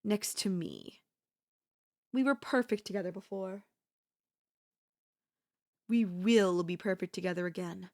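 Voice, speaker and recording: A woman's voice: medium pitch, unhurried, long pauses, lightly acted. A woman playing an obsessive, scheming yandere in a theatrical, haughty manner with formal phrasing, in an ASMR audio roleplay. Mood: coldly possessive, admiring.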